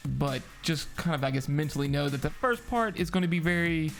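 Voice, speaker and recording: Man, slightly high voice, casual film review co-host, slangy, informal phrasing. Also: expressive, a little fast, faint music behind.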